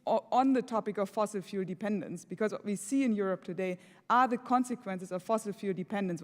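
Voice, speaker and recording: A woman with a German accent testifying at a hearing in a measured, explanatory way. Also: medium pitch. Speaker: hearing witness. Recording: hearing room with long reverb.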